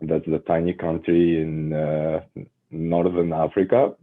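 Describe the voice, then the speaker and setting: A man's voice: extremely low pitch, flat delivery, a little slow. A man in his forties from Tunisia, a podcast guest talking casually with many hesitant fillers, heard over a phone line. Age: 40s. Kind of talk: casual interview chat.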